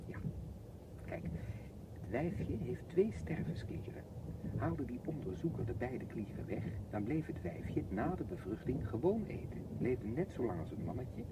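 Explanatory man, radio drama, emphatic, lively intonation, medium pitch, heavy background noise. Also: measured pace. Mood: matter-of-fact, explaining. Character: matter-of-fact man lecturing on nature and death. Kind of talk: radio play dialogue. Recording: loud ambient noise behind.

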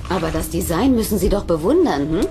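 An actress playing a seasoned, wry technician in a sci-fi audio drama, matter-of-fact and unflappable. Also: female voice, on the low side, lively intonation, a little fast, music in the background, lightly acted.

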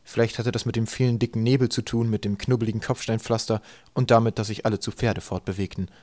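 A man with a low voice narrates a literary story. His delivery is dry and deliberate, with a comic touch, and the sound is close and dry. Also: fairly even intonation, a little mellow, dry recording. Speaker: audiobook narrator.

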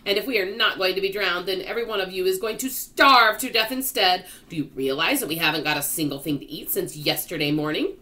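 A gloomy old male earthworm from a children's storybook, acted out by a woman reader. He complains with dramatic emphasis and swooping pitch, forever sure that disaster is coming. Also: lively intonation, fairly quick, hardly any pauses, bright, forceful tone. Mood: doom-laden.

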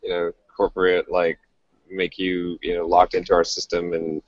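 A man in his thirties co-hosting a podcast, talking casually in a halting way that repeats itself. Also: low voice, completely flat delivery, no echo. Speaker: podcast co-host. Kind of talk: casual panel chat. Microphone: earphones on a phone video call. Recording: dull, dry phone video call.

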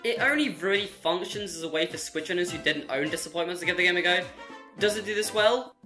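Bright-voiced sarcastic young man. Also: sits high, fast, soft background music. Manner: sarcastic, mocking. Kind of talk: scripted comedic game review.

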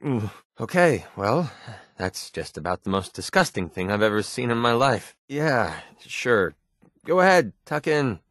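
An actor playing a sardonic young mercenary companion in a video game, gruff but loyal, talking in a wry, casual way. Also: male voice, medium pitch, sing-song, a little slow, a bit bright, no room sound, clean studio sound. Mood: disgusted. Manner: wry, casual, sarcastic delivery.

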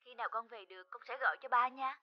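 An actress playing a young student daughter in a cartoon drama, soft-spoken, evasive and somewhat hurried. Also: female voice, fairly high pitch, muffled recording, close to an everyday voice.

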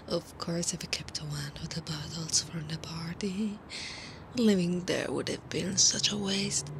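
Woman voicing a slow, breathy, flirty slime monster woman. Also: mid-range voice, lightly acted. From Italy. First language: Italian. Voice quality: breathy, often half-whispered. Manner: slow, playful, teasing. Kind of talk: intimate ASMR roleplay. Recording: clear background ambience noise.

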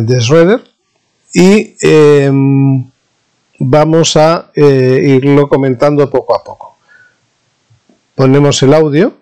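A man with an accent from Spain gives an informal technical tutorial as an instructor, explaining haltingly and checking in with his listeners. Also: mid-range voice, darkish tone, clean tone. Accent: Spanish (Spain). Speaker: university professor, instructor.

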